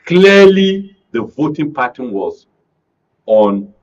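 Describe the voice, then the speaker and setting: A man with a Nigerian accent commenting on politics as a panel guest, deliberately, in short broken phrases. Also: a little high, very animated. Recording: dull lo-fi broadcast audio.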